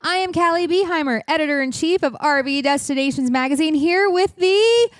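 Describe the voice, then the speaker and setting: A woman's voice: sits high, a little fast, no room sound, clean studio sound. A woman co-hosting a travel podcast in casual, playful chat, teasing her co-host. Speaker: podcast co-host, magazine editor-in-chief.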